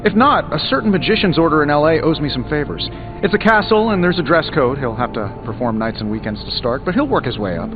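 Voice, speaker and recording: A man's voice: on the high side, lively intonation, fast talker, close to an everyday voice. A man playing a cocky, wealthy inventor hero in a video game, breezy and sarcastic. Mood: flippant. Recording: dull band-limited game audio over music.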